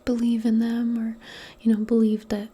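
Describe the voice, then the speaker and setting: A woman doing a soft-spoken ASMR roleplay, mostly in a breathy whisper, with a gentle, reassuring and hesitant way of talking. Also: fairly high pitch, completely flat delivery, moderate pace.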